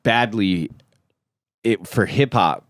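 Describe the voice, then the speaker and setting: A man's voice: fairly low pitch, takes it slowly, a bit bright, dry recording, clean studio sound. A man, a DJ and beat producer, in laid-back casual chat, rambling loosely and laughing often. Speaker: DJ and producer guest.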